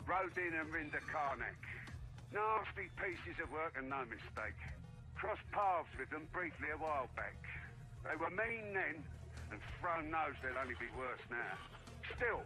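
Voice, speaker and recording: A man's voice: a little high, lightly acted, poor audio. An actor playing a seasoned male military officer in a video game, blunt and colloquial, debriefing the troops. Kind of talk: mission debrief.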